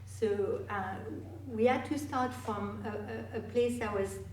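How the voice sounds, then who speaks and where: A woman, a guest speaker answering audience questions in a halting way with many restarts. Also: mid-range voice, steady tone, a little mellow, a little hiss or hum. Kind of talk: live Q&A presentation. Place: meeting hall. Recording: echoey hall from far off.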